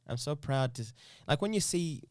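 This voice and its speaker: A man's voice: a little high, lively intonation, normal speed, darkish tone, no room sound, studio quality. A young man from Uganda, a dancer and dance teacher, talking earnestly in a casual interview and often restarting his phrases.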